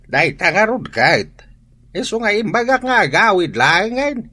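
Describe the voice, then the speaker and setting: A man's voice: sits high, very animated, a little slow, bright, forceful tone, breathy edge, exaggerated voice. A man playing a plaintive family man in a radio drama, with a northern Philippine accent. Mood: explaining.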